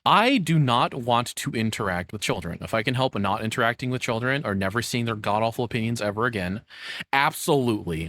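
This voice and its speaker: A man's voice: mid-range voice, lively intonation, a little fast, a bit bright, dry recording, studio quality. A young adult man doing casual reaction commentary as a content creator, rambling, sarcastic and freely swearing.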